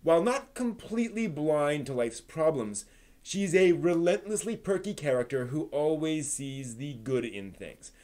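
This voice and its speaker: A man hosting a live stream, giving a manga review in an articulate, expressive way. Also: on the high side, a little slow. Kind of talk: manga review on a live stream, part prepared, part casual chat.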